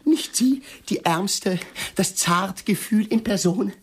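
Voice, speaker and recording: An actor voicing an insistent, suspicious fiancée in a radio drama, demanding and excitable. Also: male voice, high voice, takes it slowly, a little hiss or hum, pitched above the performer's own voice. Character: insistent, high-pitched fiancée, sharp and suspicious. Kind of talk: radio drama, quoted dialogue.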